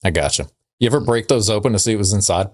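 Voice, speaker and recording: A man's voice: on the low side, expressive, rapid-fire, a little mellow, no echo, clean studio sound. A man co-hosting a podcast in casual comedic banter, often questioning and teasing. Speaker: podcast co-host.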